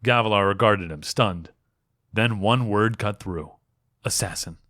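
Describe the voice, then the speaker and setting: A man reading a fantasy story aloud as dramatic audiobook narration, expressive and with deliberate pauses. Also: fairly low pitch, no echo, clean studio sound. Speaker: amateur reader, a fan.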